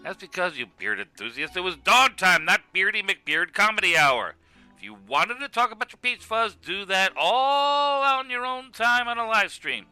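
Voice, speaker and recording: A man's voice: high voice, very animated, normal speed, bright, forceful tone, clear, resonant tone, no room sound, soft background music, exaggerated voice. A man playing an exasperated, mocking wrestler in a scripted web cartoon, scolding with emphatic sarcasm. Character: exasperated, mocking male wrestler, the self-styled straight man. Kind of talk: scripted comic argument in web animation.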